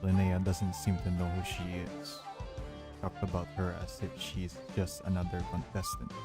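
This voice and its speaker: A man's voice: low-pitched, completely flat delivery, moderate pace. A man giving game commentary as a streamer. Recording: loud game music behind. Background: game music with guitar.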